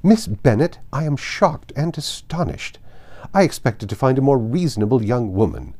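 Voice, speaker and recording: A man's voice: mid-range voice, very animated, normal speed, darkish tone. A man voicing a haughty, indignant older aristocratic lady in a formal, emphatic audiobook reading. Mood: indignant, imperious.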